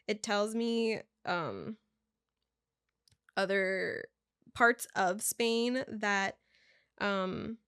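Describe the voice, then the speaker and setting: A young woman talks through what she reads in a loose, chatty way. She stumbles over words and drops casual asides as she goes. Her voice sits at an ordinary middle pitch, and the sound is dry and close. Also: fairly bright tone, dry recording. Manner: stumbling read-aloud with casual asides and laughs. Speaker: vlogger. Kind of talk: casual chat reading results aloud.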